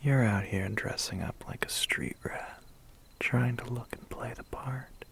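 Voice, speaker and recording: Guy doing a suave vampire biker for asmr, slow gravelly half whisper, kinda threatening and teasing. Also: medium pitch. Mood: amused, teasing. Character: suave, menacing 1980s male vampire biker. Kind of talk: ASMR audio roleplay.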